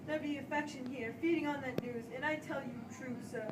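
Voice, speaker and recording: An anxious Puritan man of the church played by a woman, high in pitch, stammering and pleading with his superiors. The amateur drama is heard from far off over clear background noise. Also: steady tone, a little fast, close to an everyday voice. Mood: anxious, insistent. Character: anxious, distraught Puritan minister, a grown man. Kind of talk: amateur stage-play acting. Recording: echoey room, far mic, hissy noise.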